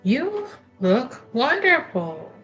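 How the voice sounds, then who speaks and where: Woman playing a coy, slow-talking noblewoman niece. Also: sits high, huge pitch swings, fairly bright tone, lo-fi sound, soft background music, pitched above the performer's own voice, full character voice. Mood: coy flattery. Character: flattering, coy noblewoman niece feigning piety.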